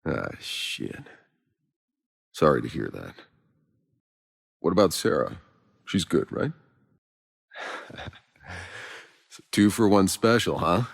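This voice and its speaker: Deep, gravelly, world-weary soldier. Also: male voice, huge pitch swings, slow, long pauses, in a large hall, heavily acted. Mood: wry, teasing. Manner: blunt, sardonic, casual and profane. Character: gruff, world-weary sardonic male soldier and survivor. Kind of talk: video game character dialogue.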